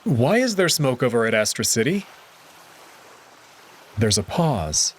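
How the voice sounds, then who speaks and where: A man's voice: medium pitch, sing-song, unhurried, a little hiss or hum. A man narrating a visual novel in the first person, reading it expressively and dramatically.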